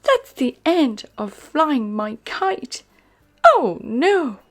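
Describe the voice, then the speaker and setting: A woman voicing an excitable, polite young male puppy in a children's storybook, with childlike, eager exclamations. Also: high voice, extremely slow, pitched above the performer's own voice, exaggerated voice. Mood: dismayed.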